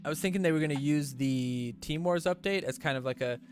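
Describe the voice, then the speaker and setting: A man's voice: on the high side, a little fast, faint music behind. A man hosting a gaming live stream in casual commentary, sounding stuffy and rambling with lots of fillers and restarts. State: stuffy nose.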